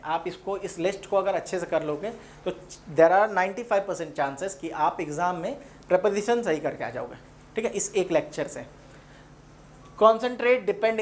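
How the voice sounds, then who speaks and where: A man with an Indian accent teaching English grammar in an informal lecture, explaining with example sentences and repeating key points. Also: sits high. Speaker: English grammar teacher at an exam coaching class.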